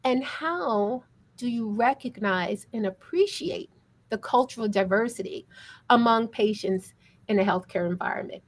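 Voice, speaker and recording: A woman's voice: fairly high pitch, a little slow. A woman moderating a panel discussion in an upbeat, encouraging manner.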